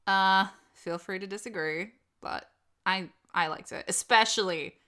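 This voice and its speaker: A woman host answering questions in a casual, opinionated and animated chat, swearing freely. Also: mid-range voice, clean tone. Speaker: host of an audio channel.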